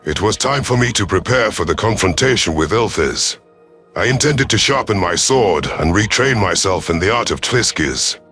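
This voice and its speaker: A man's voice: lowish voice, lively intonation, moderate pace, a bit bright. A man giving dramatic, scripted first-person narration of a science fiction audio story. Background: faint music.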